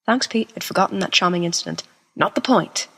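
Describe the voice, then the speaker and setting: A woman's voice: medium pitch, a little fast, sharp tone, breathy edge. A woman voicing a flamboyant, attention-seeking seventeen-year-old boy with melodramatic, teasing delivery in an amateur audio drama. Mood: teasing, demanding. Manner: melodramatic, playful whining.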